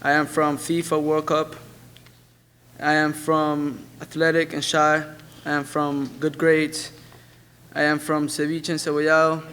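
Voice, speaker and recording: A man's voice: on the high side, completely flat delivery, measured pace, a bit bright, smooth. A young man from Ecuador, a student reciting a personal spoken word poem. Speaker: student performer.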